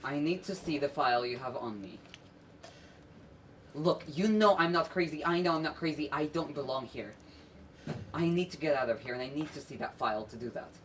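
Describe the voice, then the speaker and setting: A man's voice: a little high, a little fast, fairly bright tone, natural voice. A teenage male student acting a confused, insistent captive spy in an amateur stage play. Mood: suspicious, insistent. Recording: distant noisy stage recording with clicks.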